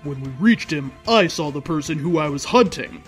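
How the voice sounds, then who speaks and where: A man with a fairly high voice narrates a story in a theatrical, mock-serious style. He performs it as comic dramatic reading, with music playing underneath. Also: expressive, moderate pace. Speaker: host reading fan fiction aloud.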